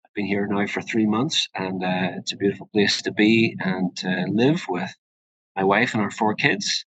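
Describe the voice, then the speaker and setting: Bright-voiced Northern Irish man, fairly low pitch. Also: moderate pace, no echo, low-quality recording. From Northern Ireland. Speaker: presenter, urogynecologist. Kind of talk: informal webinar presentation.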